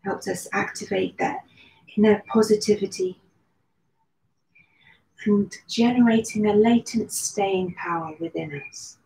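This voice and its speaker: Woman guiding a breathing meditation, soft mellow voice, calm and slow, echoey room. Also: medium pitch, creaky. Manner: slow, soothing guidance with long pauses. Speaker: meditation and yoga teacher. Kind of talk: guided meditation. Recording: roomy echo with faint background noise.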